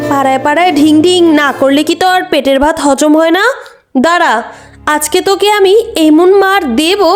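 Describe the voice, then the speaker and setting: A woman voicing a harsh, domineering aunt in a children's cartoon story, scolding in a sharp-tongued, threatening way. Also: very high-pitched. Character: harsh, scolding aunt bullying her orphaned niece. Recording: music behind the voice.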